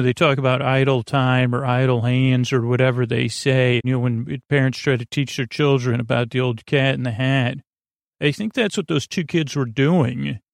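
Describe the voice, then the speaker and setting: A man with a creaky voice hosting a podcast, rambling in a halting, gently goofy bedtime monologue meant to help people drift off to sleep. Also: medium pitch, no room sound, studio quality. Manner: meandering, halting, often trailing off and repeating words. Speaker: podcast host.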